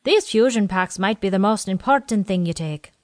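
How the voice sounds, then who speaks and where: A woman's voice: medium pitch, animated, moderate pace, a little mellow, no echo, studio quality, close to an everyday voice. Woman putting on a wheezy nasal old quartermaster. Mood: fussy. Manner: fussy, teasing, rambling.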